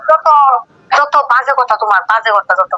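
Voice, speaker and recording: A woman's voice: fairly high pitch, lively intonation, moderate pace. A woman with an eastern Bengali dialect complaining in a casual domestic argument on a recorded call, repeating phrases dismissively. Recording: phone call recording with faint noise.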